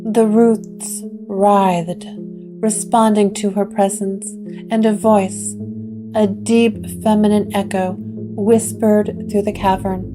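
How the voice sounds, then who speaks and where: A woman narrating a gothic horror story with measured, atmospheric delivery. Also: medium pitch, level tone. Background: guitar music.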